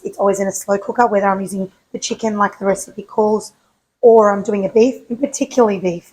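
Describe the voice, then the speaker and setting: Croaky-voiced woman hosting a cooking show. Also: medium pitch, slight background noise, low-quality recording. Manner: chatty, asks herself questions and answers them. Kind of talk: casual cooking demonstration.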